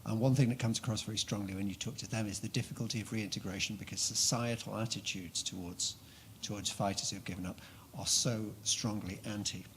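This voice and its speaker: Fast-talking man asking a question. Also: on the low side, fairly even intonation, slight background noise. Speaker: audience member asking a question. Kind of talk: unscripted question at a policy event.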